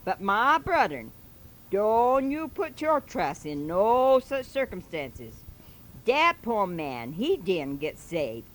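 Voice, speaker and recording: A woman's voice: medium pitch, sing-song, slow, low-quality recording. A woman voicing a fervent old-time male preacher who tells a parable in a drawling dialect, exhorting his congregation with repetitive asides. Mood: preaching. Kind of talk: comic dialect sermon recitation.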